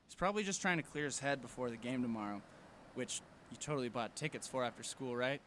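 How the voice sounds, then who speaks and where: A man's voice: mid-range voice, fast talker, fairly bright tone, a little hiss or hum, natural voice. A man playing a supportive, jokey teenage boy, an earnest best friend in an audio drama. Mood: joking. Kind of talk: fiction podcast audio drama acting.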